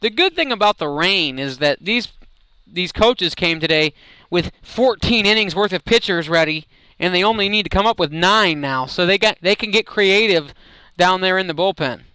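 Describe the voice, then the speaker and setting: Male, slightly high pitch, clear tone, analytical live baseball commentator. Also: animated, normal speed, sharp tone, no room sound, low-quality recording.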